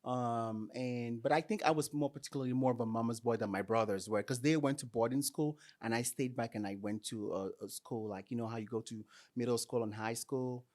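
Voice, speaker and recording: A man from Nigeria chatting as an interview guest with a showman's confidence. His voice sits at an ordinary middle pitch, and he talks in a bold, flamboyant, self-assured way. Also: a little fast, few pauses, a little mellow. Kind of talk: casual interview chat.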